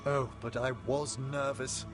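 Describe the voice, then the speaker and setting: A man's voice: mid-range voice, flat delivery, a little slow, lightly acted. A man playing a courteous, reflective older necromancer scholar in a video game, with formal, theatrical, erudite phrasing. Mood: earnest, reflective. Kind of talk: video game cutscene acting. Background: music.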